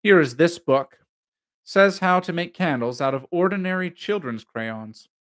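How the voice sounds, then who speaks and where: A man's voice: a little high, lively intonation, moderate pace, fairly bright tone, pitched above the performer's own voice. A man voicing a pompous, bumptious schoolmaster in an audiobook novel, eager to impress with blustering, old-fashioned slang.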